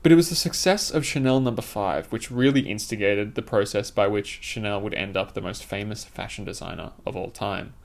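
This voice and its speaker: A man's voice: on the low side, fairly quick, creaky, hardly any pauses. A man reading his own scripted essay aloud, in a formal, literary and opinionated manner.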